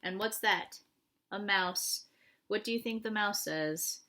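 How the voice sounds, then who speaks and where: A woman reading a picture book aloud to young children in an animated way, often asking them questions. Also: medium pitch, takes it slowly.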